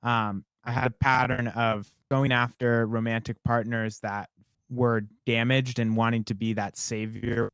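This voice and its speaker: A young man, a songwriter guest, talking in a relaxed and reflective way, rambling with many fillers. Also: medium pitch, measured pace, smooth, dry recording. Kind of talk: casual interview conversation.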